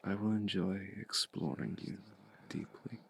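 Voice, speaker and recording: A man playing a seductive, domineering vampire hypnotist in an intimate ASMR roleplay, speaking in a hushed, deliberate, breathy voice that often slips toward a whisper. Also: animated, heavily acted. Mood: seductive, coaxing. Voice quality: low, breathy, gravelly, often slipping into whisper. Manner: deliberate, commanding, coaxing with long pauses.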